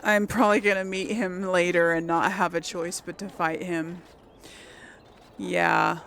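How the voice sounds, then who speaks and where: A woman's voice: on the low side, level tone, unhurried, creaky, fairly bright tone, slight background noise. A woman hosting a casual game playthrough, chatting and thinking aloud as she plays. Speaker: gaming video host. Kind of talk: casual playthrough commentary with role-played dialogue.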